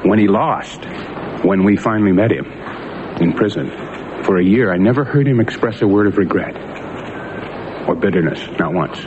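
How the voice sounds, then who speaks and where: A man giving a heartfelt, halting public tribute. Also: low voice, animated, dull audio, noisy background. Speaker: released hostage. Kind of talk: emotional public statement.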